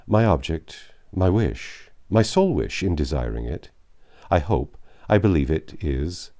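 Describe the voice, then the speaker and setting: Male narrator as anxious storybook gentleman colonel, low mellow voice, formal, halting, painfully earnest. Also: very animated, heavily acted. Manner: halting, self-correcting, formal. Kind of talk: storybook character reading.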